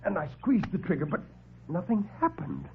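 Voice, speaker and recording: A man narrating a first-person horror tale as a classic radio storyteller, theatrical and suspenseful, with deliberate pauses. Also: high voice, sing-song, gravelly, slightly rough. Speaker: storyteller and actor. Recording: muffled old radio broadcast recording.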